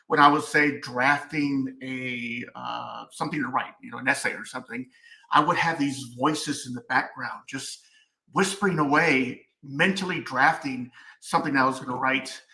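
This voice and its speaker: A man in the audience joining an online discussion, speaking in a relaxed, unprepared and halting way over a video call. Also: medium pitch. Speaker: audience participant. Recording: roomy echo.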